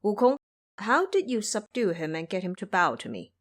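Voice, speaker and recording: A woman playing a pious male monk master, formal, measured and courteous, in an audio drama. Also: mid-range voice, sing-song. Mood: curious.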